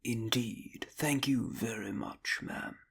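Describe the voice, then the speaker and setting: A man playing a measured, courteous gentleman traveller with a gravelly, husky voice and formal politeness in an audio drama. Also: medium pitch, whispered, heavily acted. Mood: gracious.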